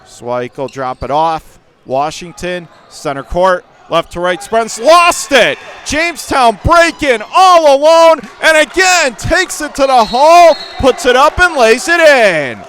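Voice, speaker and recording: A man doing radio-style basketball play-by-play as the announcer, speaking in clipped phrases that lift into excited calls on big plays. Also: extremely high pitch, unhurried, few pauses. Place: high school gym during a game.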